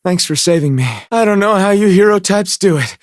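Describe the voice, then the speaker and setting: A man's voice: sits high, brisk, dry recording, studio quality, close to an everyday voice. A man voicing a timid, fragile islander in a video game, with a whimpering, hesitant manner. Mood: relieved, timid. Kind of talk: video game character acting.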